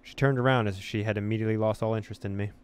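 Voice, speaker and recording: A man's voice: on the low side, steady tone, very fast, smooth. A man hosting a let's-play, reading story text expressively and dropping in casual asides. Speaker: gaming video host.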